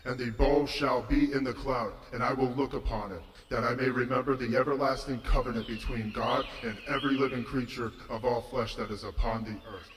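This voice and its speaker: A man's voice: mid-range voice, steady tone, brisk, few pauses, a bit bright, pitched above the performer's own voice, close to an everyday voice. A man voicing God as a solemn, almighty figure, declaiming in a dramatized scripture reading. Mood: solemn, majestic. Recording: echoing voice with long hall reverb.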